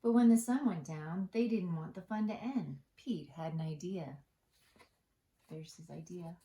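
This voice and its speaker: A woman reading a picture book to young children as storytime host, singsong and deliberate, with asides to the listeners. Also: on the low side, dark tone. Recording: echoey room.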